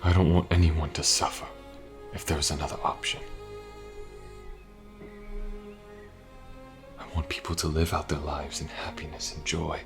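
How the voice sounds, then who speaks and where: A man playing a sorrowful, duty-bound immortal mage in a fantasy audio roleplay, solemn, measured and tender, in a breathy, half-whispered voice. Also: a bass voice. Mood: earnest, wistful. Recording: music behind the voice.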